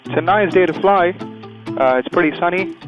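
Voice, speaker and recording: A man's voice: sits high, moderate pace, muffled recording, music in the background. A man working as a pilot and video host, explaining procedures step by step from a small plane cockpit and making radio calls. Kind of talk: instructional vlog commentary with radio calls from the cockpit.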